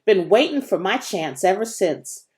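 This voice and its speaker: A woman's voice: mid-range voice, lively intonation, unhurried, darkish tone, lightly acted. Woman reading as a folksy, wisecracking boy. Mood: jaunty, folksy. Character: folksy, wisecracking boy, laid-back big-brother type.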